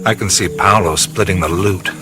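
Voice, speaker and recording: An actor playing a laid-back, skeptical adventurer hero, talking in a dry, wry way. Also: male voice, low-pitched, moderate pace, background noise, lightly acted. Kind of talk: radio play acting with inner monologue. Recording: studio radio play with music score.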